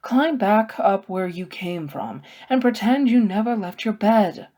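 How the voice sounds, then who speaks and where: An earnest, protective male scholar from a storybook , acted out by a woman reader. He admonishes slowly and with care. His worry shows in a stern, pleading manner. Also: mid-range voice, animated, warm tone, pitched above the performer's own voice. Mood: urgent, admonishing.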